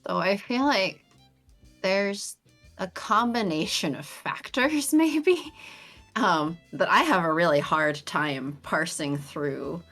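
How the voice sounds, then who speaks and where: A young woman chatting casually on a game stream, talking in a melodic, animated way with lots of pitch variation. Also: slow pace, faint music behind. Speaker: streamer co-host.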